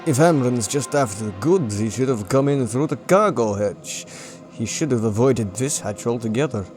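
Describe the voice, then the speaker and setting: A man voicing a calm, hulking alien crewman in a sci-fi comic dub, measured and dry. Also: medium pitch, soft background music, close to an everyday voice. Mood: thoughtful. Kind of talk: comic dub character acting.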